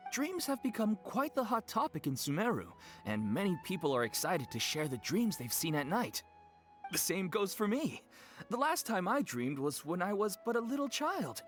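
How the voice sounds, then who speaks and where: A woman playing a polite young event organizer with a courteous, gently formal way of speaking. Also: fairly low pitch. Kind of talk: video game voice acting.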